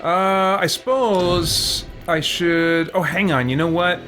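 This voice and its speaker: A man's voice: sits high, fairly even intonation, slow pace. A man giving casual gameplay commentary, thinking aloud in a hesitant way. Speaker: let's play host. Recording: game music behind the voice. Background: game music.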